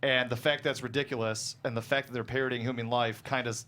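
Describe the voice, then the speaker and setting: A man's voice: mid-range voice, steady tone, fast talker, pushed and bright. A man talking casually as a guest screenwriter about his own writing, in a hesitant, rambling way, often chuckling mid-sentence.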